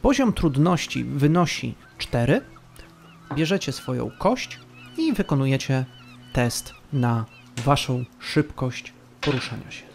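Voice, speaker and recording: A man narrating a tabletop fantasy game as game master, storytelling with dramatic, deliberate pauses. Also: medium pitch, sing-song, vocal fry, a little hiss or hum.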